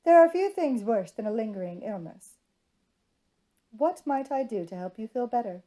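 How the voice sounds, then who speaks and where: A woman voicing a cheerful, gallant young gentleman suitor with genteel, effusive manners in a storybook reading. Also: on the high side, lively intonation, moderate pace, natural voice. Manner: genteel, effusive, formal period speech.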